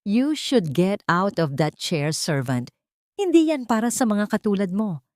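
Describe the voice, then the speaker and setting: A woman's voice: medium pitch, sing-song, a little slow, darkish tone, no echo, clean studio sound. A woman voicing a haughty, mocking mistress in a story, sneering and condescending. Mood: mocking, contemptuous.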